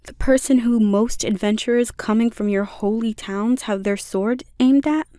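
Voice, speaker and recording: Lady doing a sweet caring slime monster girl for asmr, soft n soothing, mellow tone, super dry close sound, bit teasing. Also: a little high, moderate pace, no room sound, natural voice. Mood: incredulous teasing. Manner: soft, soothing, intimate delivery. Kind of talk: ASMR roleplay.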